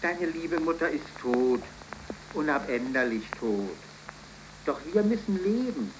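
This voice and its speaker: Solemn, insistent suitor, faint hiss. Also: male voice, fairly high pitch, animated, unhurried. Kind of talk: 1930s radio play acting. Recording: hissy 1930s radio recording.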